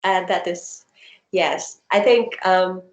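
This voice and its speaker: Woman researcher, Indonesian first language, measured and unhurried, walking through examples over a video call in a roomy, echoing space. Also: lowish voice, gravelly. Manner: thoughtful, explains with examples, many ums. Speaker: researcher presenting her dissertation.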